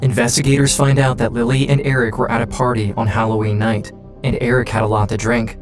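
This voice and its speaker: A man's voice: medium pitch, little pitch movement, fast talker. A man reading scripted true-crime documentary narration. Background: faint music.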